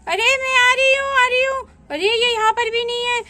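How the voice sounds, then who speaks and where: A woman voicing a playful little village girl who calls out in an excited, sing-song way. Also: extremely high pitch, normal speed, voice pushed up.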